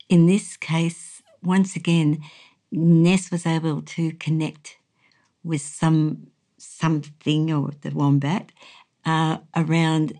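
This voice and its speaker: A woman hosting a podcast in a measured, conversational way. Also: deep voice, dark tone. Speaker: podcast host. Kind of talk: measured podcast presenting and chat.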